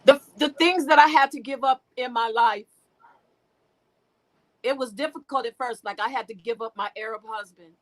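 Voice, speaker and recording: High-voiced woman telling her story. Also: poor audio. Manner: halting, restarts, laughs often. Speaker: guest. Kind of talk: candid personal storytelling.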